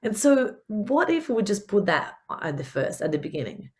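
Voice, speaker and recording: A woman's voice: mid-range voice, very animated, normal speed, darkish tone. A middle-aged woman with a mixed Italian and Spanish accent, hosting a solo business podcast in an earnest, encouraging way and often repeating words as she searches for them. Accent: Italian, with a mixed Spanish touch. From Italy. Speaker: podcast host, business coach.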